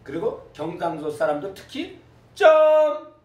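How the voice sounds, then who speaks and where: A man teaching a class, with a lively manner, repeating words for students to echo. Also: high voice, slow. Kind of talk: classroom lecture. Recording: echoey room from a distance.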